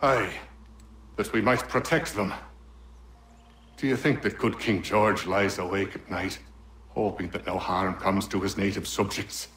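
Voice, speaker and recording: A scheming colonial official for a game, low oratorical voice, some background ambience. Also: male voice, fairly even intonation, unhurried, long pauses, a bit bright, roomy sound, a little hiss or hum. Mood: bitter, impassioned. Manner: oratorical, persuasive. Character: scheming older colonial official, smooth orator turning threatening. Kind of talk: dramatic video game acting.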